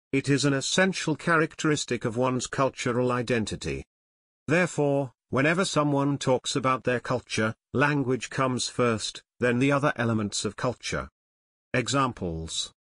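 A man narrating a scripted English lesson, reading slide text slowly and evenly as the channel's host. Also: mid-range voice, a bit bright, dry recording, studio quality. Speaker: English teacher.

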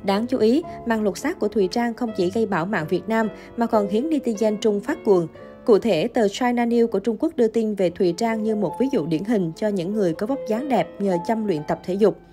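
A woman narrates a scripted news feature in a mellow, warm voice with a steady, even read. Soft music plays quietly behind her. Her pitch sits in a middle range for a woman. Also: fairly quick.

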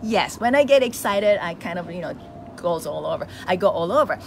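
A middle-aged Japanese woman with a Japanese accent, talking live to followers on a phone held at arm's length on an outdoor veranda, animated and asking her listeners questions. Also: on the low side, a little fast, gravelly, slight background noise. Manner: animated, exclaims, gestures with hands, asks listeners questions. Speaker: face yoga teacher. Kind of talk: live motivational talk to followers.

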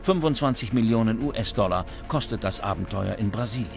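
A man's voice: lowish voice, animated, brisk, creaky. Male documentary narrator over prominent music. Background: background music.

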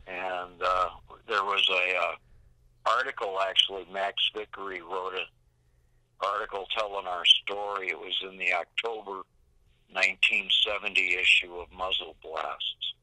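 An older man tells his own story casually and haltingly. His voice is low but very sharp and forward, and the sound is dry, with little room on it. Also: fairly even intonation, no room sound, rough recording. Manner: halting, unhurried recollection. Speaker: expedition veteran being interviewed. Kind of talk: casual reminiscence.

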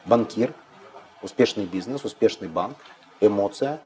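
A man's voice: on the low side, unhurried, mellow. A man in his fifties hosting an interview, asking direct, probing questions, recorded on a lapel mic in a large indoor cafe. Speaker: host.

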